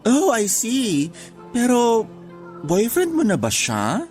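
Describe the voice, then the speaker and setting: An actor voicing a woman who is a teasing, blunt office friend, with exaggerated, drawn-out delivery in a radio drama. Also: a little high, wildly swooping pitch, a little mellow, faint music behind, exaggerated voice. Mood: playful, teasing. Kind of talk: Tagalog radio drama dialogue.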